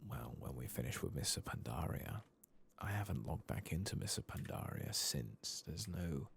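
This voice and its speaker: A soft-spoken British man doing relaxed ASMR game commentary, hushed and at times whispered. His voice is extremely low and full of heavy fry, muttered casually to himself over faint background noise. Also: normal speed.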